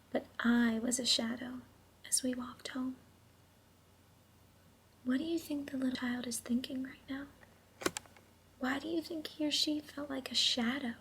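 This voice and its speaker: A woman reading a children's story aloud in a gentle, hushed, unhurried way, pausing to put questions to her listeners. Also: a little high, a little hiss or hum, rough recording. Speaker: storybook reader.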